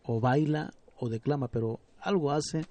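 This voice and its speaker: A middle-aged man from Guerrero, Mexico, speaking as a guest on a radio show, unhurried and hesitant, with frequent fillers. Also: medium pitch, warm tone. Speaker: artistic director of a family music group.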